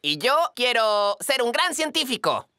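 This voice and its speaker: A woman's voice: fairly high pitch, sing-song, measured pace, pushed and bright, a little hiss or hum, full character voice. A woman voicing a confident, helpful young boy kitten in a Latin American Spanish cartoon dub. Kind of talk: children's cartoon dubbing.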